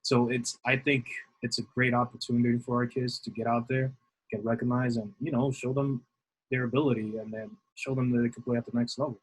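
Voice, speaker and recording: Middle-aged New man, husky, rambling guest answers. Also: medium pitch, level tone, a little fast, rough recording. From New York City. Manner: rambling, many fillers and restarts. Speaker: guest, assistant baseball coach. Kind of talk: casual interview answers.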